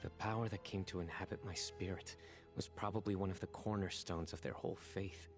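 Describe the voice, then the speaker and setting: An actor playing a stern, composed young desert guardian in a video game, speaking in a measured, deliberate, solemn way with reflective resolve. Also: male voice, deep voice, fairly even intonation, lightly acted. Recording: background music under dialogue.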